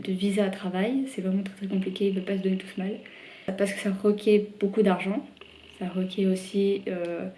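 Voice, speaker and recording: A woman's voice: medium pitch, mellow, a little hiss or hum. A young French woman chatting to camera in a vlog, talking freely with lots of fillers and restarts. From France. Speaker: vlogger. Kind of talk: casual chat to camera.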